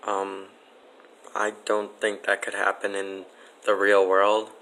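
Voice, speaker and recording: A man giving casual, matter-of-fact interview answers in a deadpan way. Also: on the low side, takes it slowly, low-quality recording.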